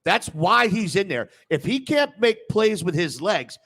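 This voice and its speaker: A man with a fairly high-set voice hosts a casual sports talk show. He is opinionated and emphatic, and likes to jump in on the banter. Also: sing-song, unhurried, fairly bright tone.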